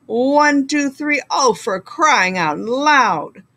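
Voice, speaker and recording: Woman voicing Tacky, a loud oddball boy penguin. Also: high-pitched, animated, drawn-out words, voice pushed up, exaggerated voice. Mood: loud, flustered. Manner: blaring, muddled. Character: Tacky, loud clumsy odd male penguin.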